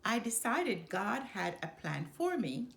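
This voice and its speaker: Female children's storybook reader, lively and varied pitch, measured dramatic phrasing, roomy sound. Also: creaky. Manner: deliberate, dramatic phrasing with pauses. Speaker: storytime host reading a children's book aloud. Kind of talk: expressive first-person children's storybook narration. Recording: roomy, echoing room.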